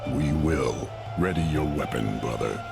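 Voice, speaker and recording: A man's voice: extremely low pitch, unhurried, creaky, exaggerated voice. An actor voicing a stern male hunter who speaks tersely and coldly, in game audio with music behind. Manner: terse, cold and determined. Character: grim male demon hunter, stern and resolute.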